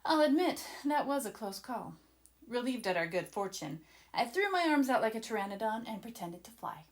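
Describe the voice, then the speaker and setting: A woman voicing an excited, adventurous young boy who eagerly and dramatically narrates a children's storybook to his listeners. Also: fairly high pitch, normal speed. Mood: excited storytelling. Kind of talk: children's storybook read-aloud.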